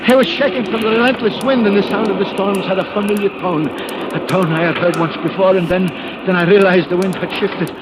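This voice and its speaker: A man's voice: sits high, steady tone, a little fast, very noisy, lightly acted. An actor playing a frantic, greedy old man in a 1940s radio drama, overwrought and prone to pleading and stammering. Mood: tense, haunted narration. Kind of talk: 1940s radio drama acting, first-person narration. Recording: old radio recording with music behind.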